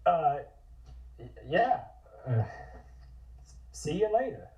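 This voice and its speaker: A man's voice: on the high side, sing-song, takes it slowly. A man playing an earnest, awkward young man at an online script table read. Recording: muffled, echoey room.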